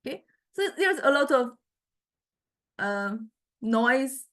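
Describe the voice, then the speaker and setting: A woman with a Chinese accent giving an informal software tutorial as a lecturer, speaking haltingly with repeated words and frequent checking tags like okay and right. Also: high voice, big pitch swings. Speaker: university lecturer in music technology. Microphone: small condenser microphone.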